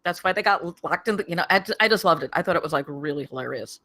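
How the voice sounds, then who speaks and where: Female reviewer, forceful and crisp-toned, halting, emphatic casual talk. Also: lowish voice, expressive. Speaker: script reader giving feedback. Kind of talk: casual feedback.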